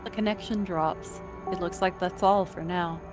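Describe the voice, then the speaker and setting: A woman's voice: fairly low pitch, steady tone, moderate pace. A woman reading scripted second-person story narration as the narrator. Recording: loud music under the voice. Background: loud music.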